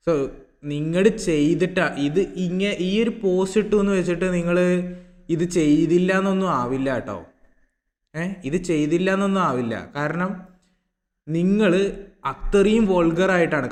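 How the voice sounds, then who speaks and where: A man speaks in a somewhat high voice, with long reverb trailing his words. He comments informally, straight to his viewers, circling back over his points and breaking them up with rhetorical questions and short questioning hums. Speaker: YouTube reaction commentator.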